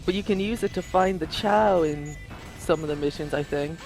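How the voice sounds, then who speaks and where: A speaker chatting casually as a co-commentator on a video game, in an excitable, rambling way. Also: medium pitch, moderate pace. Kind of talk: casual gameplay chat. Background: video game music.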